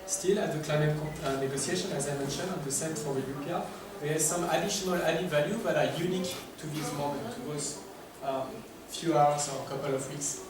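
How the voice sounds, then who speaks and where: A man's voice: fairly high pitch, a little fast, few pauses. French accented guy presenting at a workshop, lots of ums, sounds far off in a big echoey room with hiss. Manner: hesitant, many filler words; addresses audience with questions. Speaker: workshop speaker. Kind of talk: conference presentation. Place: large echoey conference room. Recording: echoey distant room recording with noise.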